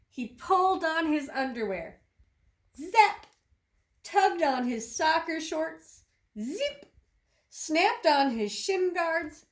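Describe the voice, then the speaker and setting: A woman reads a picture book to children as a teacher. Her voice is very high, with lively swings in pitch and an unhurried pace. She is expressive and leans on the sound words. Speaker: teacher reading aloud. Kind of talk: animated children's storybook read-aloud.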